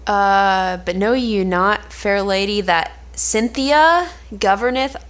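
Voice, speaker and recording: A woman's voice: mid-range voice, lightly acted. A woman playing a frank, admonishing confidant, reading formal archaic lines in an amateur cold read of an Elizabethan play. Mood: frank, reproving. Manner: measured, formal archaic phrasing.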